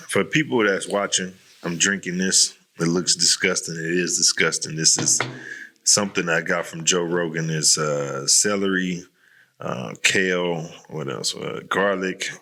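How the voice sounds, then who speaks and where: A man's voice: deep voice, steady tone, a little slow, sharp tone, slight background noise. A man hosting a podcast in a casual, candid chat, talking bluntly in slangy, profanity-laced language. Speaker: podcast host.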